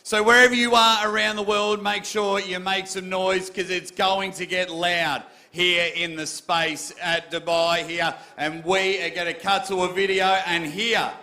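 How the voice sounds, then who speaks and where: A man's voice: high voice, flat delivery, unhurried, few pauses, sharp tone. A male event host presenting with energy, rallying the audience before a match.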